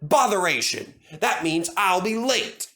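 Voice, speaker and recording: A man voicing a bossy, fussy male tank engine, brisk and impatient, for a storybook reading. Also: sits high, lively intonation, fairly bright tone, pitched above the performer's own voice.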